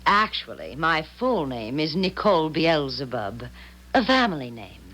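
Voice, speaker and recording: An actress in a 1970s radio drama playing the devil as a sly, polished woman, smooth, formal and insinuating. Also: deep voice, very animated, measured pace, fairly bright tone, heavily acted, poor audio. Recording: 1970s radio broadcast recording.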